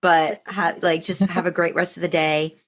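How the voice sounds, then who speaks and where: Low voiced woman, podcast host, just chatting casually. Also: flat delivery, normal speed, a bit bright. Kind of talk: casual chat and podcast sign-off.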